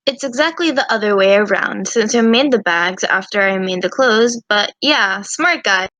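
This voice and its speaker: Woman voicing a bright, playful eccentric student girl. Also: mid-range voice, animated, normal speed, dry recording, natural voice. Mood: breezy, playful. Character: eccentric, playful, teasing young female student roommate. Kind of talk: visual novel fan dub acting.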